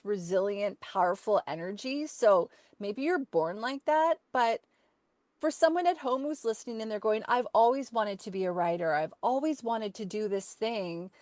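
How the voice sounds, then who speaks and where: A woman's voice: medium pitch, dry recording. Affirming woman podcast host, dry sound. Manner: enthusiastic, affirming, rambling questions. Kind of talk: conversational interview.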